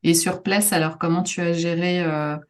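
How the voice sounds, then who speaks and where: A woman host asking friendly, halting questions in a casual interview. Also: fairly low pitch, steady tone.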